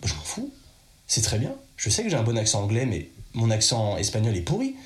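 A man's voice: lowish voice, wildly swooping pitch, fairly quick, reverberant. A French man giving casual advice in a chatty, direct way, speaking straight to the listener. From France. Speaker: podcast host.

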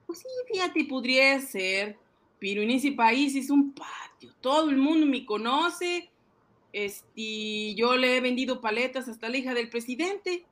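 A man voicing an excitable, boastful ice-cream seller who fancies himself a banker, cajoling and exclaiming in a comic radio drama. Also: very high-pitched, measured pace, voice pushed up, heavily acted. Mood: fretting.

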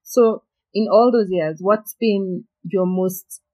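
A woman's voice: mid-range voice, steady tone, slow pace, dark tone, poor audio. A woman hosting a casual interview, asking curious, encouraging questions. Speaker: host.

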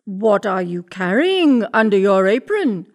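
A woman's voice: medium pitch, very animated, a little slow, darkish tone. A woman voicing a sly, hungry male wolf in a storybook tale, coaxing and scheming under a friendly front.

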